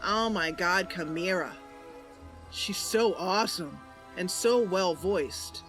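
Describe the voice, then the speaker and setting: A woman's voice: fairly low pitch, slow, fairly bright tone. A woman doing casual, chatty and enthusiastic commentary as she streams a game. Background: faint music.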